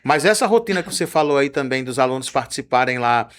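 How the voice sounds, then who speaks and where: A man's voice: mid-range voice, lively intonation, fast talker, fairly bright tone. A man with a Brazilian Portuguese accent hosting a radio interview, asking long, winding questions with "não é?" tags.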